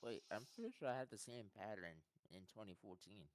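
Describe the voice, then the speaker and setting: Laid-back young man, low voice. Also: a little fast, a little hiss or hum. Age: young adult. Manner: laid-back, terse, says "okay" a lot. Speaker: co-host. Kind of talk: casual chat.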